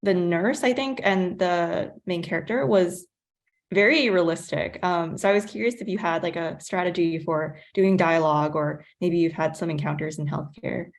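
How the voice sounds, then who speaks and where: Lowish-voiced woman asking tentatively. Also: normal speed. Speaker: audience questioner. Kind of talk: casual, polite questioning.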